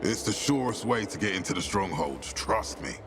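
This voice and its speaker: An actor playing an authoritative, calculating military commander in a video game, with a measured, persuasive manner. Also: male voice, on the low side, lively intonation, vocal fry, background noise. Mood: firm, assured. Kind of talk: video game cutscene acting.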